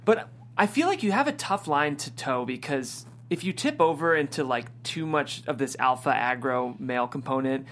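A woman's voice: rumbling low, expressive, moderate pace. A woman hosting a casual interview, chatting conversationally as she sets up her questions. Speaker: interviewer, show host. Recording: noisy room with hum.

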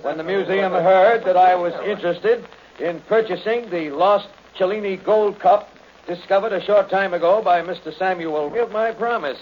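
An actor playing a blustery wealthy brewer and collector, formal and emphatic, in 1940s radio drama style. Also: male voice, sits high, normal speed, lightly acted. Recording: muffled, hissy old radio recording.

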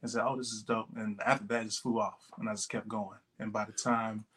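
A man in his late twenties from Texas, a podcast guest talking in a relaxed, rambling way with many fillers. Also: fairly low pitch, brisk, husky. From Texas, USA. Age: 20s. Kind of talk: casual interview talk.

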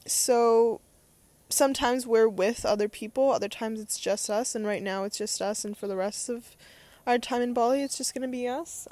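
A woman's voice: fairly high pitch, darkish tone. A young adult woman talking in a casual, unscripted monologue as a podcast host, rambling and hesitant as she thinks aloud.

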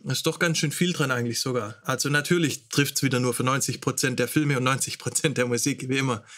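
A man's voice: mid-range voice, steady tone, fast, a bit bright. A man speaking as a host in an informal, personal lecture, thinking aloud and correcting himself as he goes. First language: German. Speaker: host teaching songwriting.

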